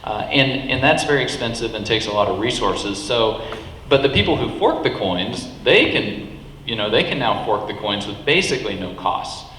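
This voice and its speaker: A man's voice: on the low side, lively intonation, moderate pace, a bit bright, a little hiss or hum. A man speaking on a panel at a live meetup, talking emphatically with many restarts. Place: meetup venue hall. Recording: echoey hall with long reverb.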